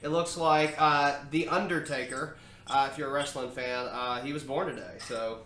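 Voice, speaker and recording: A man hosting a casual podcast, speaking haltingly with many filler sounds. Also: medium pitch, steady tone, sharp tone. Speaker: podcast host. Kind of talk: casual chat. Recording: roomy echo, faint noise.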